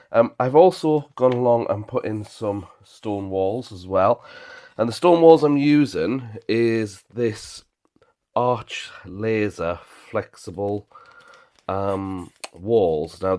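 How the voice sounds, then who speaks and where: A man with a bunged-up, slightly breathy voice from a cold, chatting casually through a hobby how-to in his garage workshop. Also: low voice. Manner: chatty, relaxed, talks through each step with fillers. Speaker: channel host and model railway builder. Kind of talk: casual how-to vlog narration.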